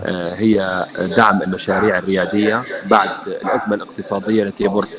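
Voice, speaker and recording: Low-voiced man speaking haltingly. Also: flat delivery, over a noisy crowd. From Syria. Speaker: national president of a youth organization. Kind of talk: formal greeting speech.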